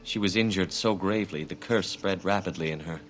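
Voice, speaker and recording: An actor playing a grieving elven hunter in a fantasy game, speaking in a formal, measured, earnest way. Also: male voice, low-pitched, a little hiss or hum, lightly acted. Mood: sorrowful.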